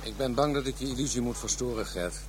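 A man's voice: mid-range voice, fast, background noise, lightly acted. An actor playing a world-weary, knowing Dutch police detective in a radio drama, a man with a matter-of-fact, grim way of explaining things. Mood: grimly informative.

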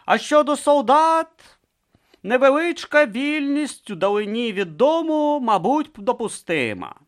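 A man voicing a stern, cold male high inquisitor who speaks slowly and severely. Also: big pitch swings, no room sound, studio quality, full character voice. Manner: slow, severe, pronouncing.

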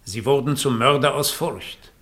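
Radio drama, male: actor as calculating doppelgänger, forceful bright edge, imperious and deliberate. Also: medium pitch, natural voice. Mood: cold triumph. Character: Diego, cold calculating doppelgänger, a man who schemes death. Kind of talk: 1960s German radio play acting.

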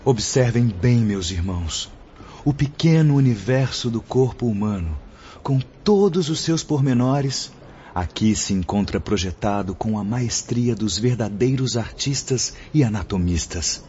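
An actor with a Brazilian accent playing a wise, solemn spiritual instructor in a radio drama, speaking in measured, declamatory phrases. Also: male voice, medium pitch, expressive, mellow, a little hiss or hum, natural voice. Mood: gentle, instructive. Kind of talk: radio drama acting, didactic oration. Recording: dull lo-fi audio under music.

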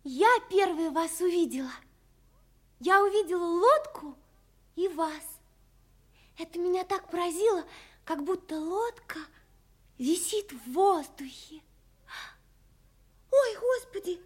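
Very high-voiced eager young girl. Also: expressive, takes it slowly, long pauses, heavily acted. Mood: moved, flustered. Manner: exclaiming, eager, girlish. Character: excitable kind young ship's girl, skipper's niece. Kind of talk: Russian audio drama acting.

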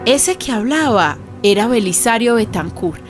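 A woman playing a neighbour who narrates a radio drama in an unhurried, confiding storytelling manner. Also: fairly high pitch, animated, over music.

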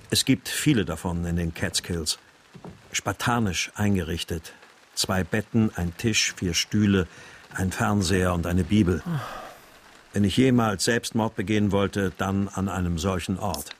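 Brooding, exhausted man, measured radio-drama narration, lowish voice, noisy backing. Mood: weary, brooding. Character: despairing, exhausted father searching for his abducted daughter.

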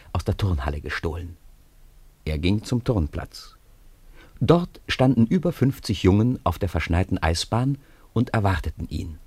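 A man narrating a 1950s radio play in an expressive storytelling manner. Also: low-pitched, breathy edge, no room sound. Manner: expressive storytelling with lively intonation.

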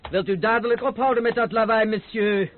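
An actor playing an arrogant cavalry officer in a radio play who speaks in a mocking, haughty way. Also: male voice, high voice, fairly even intonation, fairly quick, natural voice. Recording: narrow phone-like old recording.

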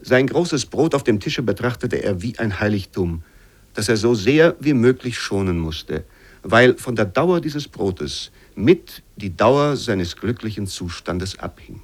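A man reading literary prose for radio in a measured, formal storytelling manner. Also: lowish voice, animated. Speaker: reader of literary excerpts.

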